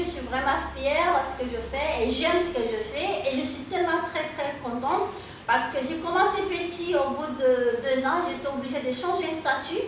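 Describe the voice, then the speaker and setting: A woman from the Comoros, a hairdresser talking casually and proudly about her salon while she works, often repeating words. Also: sits high. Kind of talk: casual interview talk while working. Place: hair salon. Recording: echoey room, distant mic, background noise.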